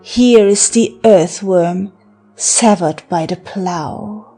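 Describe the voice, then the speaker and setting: A woman performs a teasing enchantress who taunts her lover in a theatrical verse drama. She speaks very slowly at an ordinary female pitch, with mockery and seduction in her delivery. Soft music sits behind the voice. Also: breathy edge, heavily acted. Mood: mocking. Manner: theatrical, taunting, breaking into laughter and sighs. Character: teasing, mocking seductive woman of magical wiles. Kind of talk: verse drama audiobook acting.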